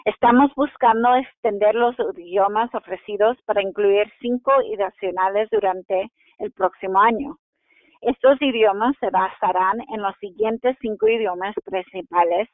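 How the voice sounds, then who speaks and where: A woman presents a webinar, reading each audience question and then explaining the answer at an even, measured pace. She now and then restarts a phrase. Her voice comes through a narrow, tinny phone-like channel that is completely dry. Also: medium pitch, no echo. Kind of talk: translated webinar Q&A, read from script.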